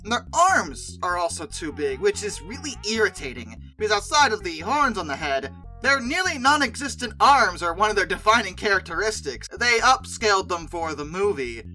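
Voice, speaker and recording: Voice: mid-range voice, very animated, normal speed, few pauses, sharp tone, faint music behind, rough recording. A video host giving casual, opinionated commentary in a conversational, emphatic way with exasperated asides.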